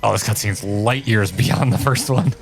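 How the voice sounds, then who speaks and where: A man around his thirties or forties reacting casually and excitedly to game footage, with informal, opinionated commentary. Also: fairly low pitch, moderate pace, vocal fry, music in the background. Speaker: co-host of a video game reaction show.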